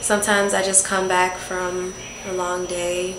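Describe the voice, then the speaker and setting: A woman's voice: lowish voice, completely flat delivery, a little slow. A woman in her early twenties, a student answering casually and tentatively, repeating her phrases. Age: early 20s. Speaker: student interviewee. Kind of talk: casual interview. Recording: echoey, noisy room.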